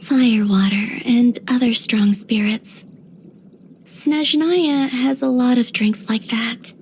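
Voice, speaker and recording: A serene young moon maiden from a fantasy game, her voice high, soft and breathy. She speaks with dreamy, tender innocence and an otherworldly wistfulness. Some background noise sits behind her. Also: slow pace, poor audio. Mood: innocent, musing. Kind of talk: scripted video game character voice lines.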